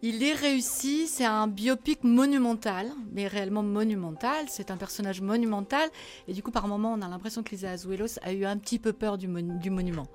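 A woman's voice: mid-range voice, fairly quick, a little mellow. A woman, a magazine editor, reviewing a film on radio in a thoughtful, critical way, with occasional stammering.

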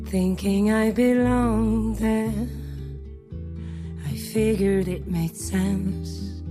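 A woman singing a slow, gentle ballad over guitar. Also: mid-range voice. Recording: song track with loud guitar.

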